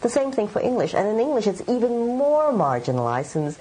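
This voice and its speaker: A woman's voice: fairly high pitch, sing-song, brisk, warm tone, slightly rough, low-quality recording. A middle-aged woman writer from Hong Kong, answering interview questions in a thoughtful, meandering way with many fillers. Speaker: writer and editor.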